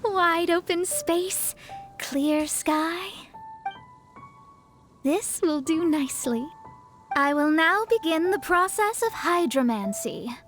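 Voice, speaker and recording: Haughty anime mage girl, high voice, explains stuff slowly and confidently, soft music under it. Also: expressive, heavily acted. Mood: assured, thoughtful. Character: proud, self-assured young female astrologer mage. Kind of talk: anime-style video game voice acting.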